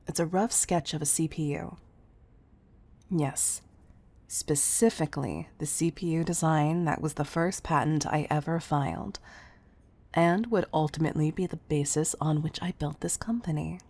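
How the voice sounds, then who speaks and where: A woman playing a commanding but fond CEO in an intimate roleplay audio, speaking softly and deliberately to the listener, often near a whisper. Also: low voice. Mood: firm, chiding. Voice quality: breathy, soft. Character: commanding, tough-loving female CEO, fond underneath.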